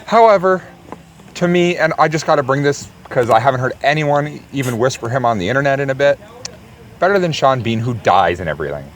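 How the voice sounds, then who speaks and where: Wry man, mid-pitched voice. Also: animated, a little fast, a little hiss or hum. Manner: wry, opinionated, sweary. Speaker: podcast co-host reviewing films. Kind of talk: casual movie review banter.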